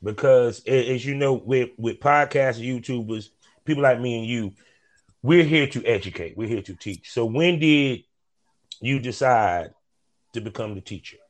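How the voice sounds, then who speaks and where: A man's voice: medium pitch, unhurried. A man hosting a casual podcast interview, talking in a laid-back, slangy drawl. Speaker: podcast host.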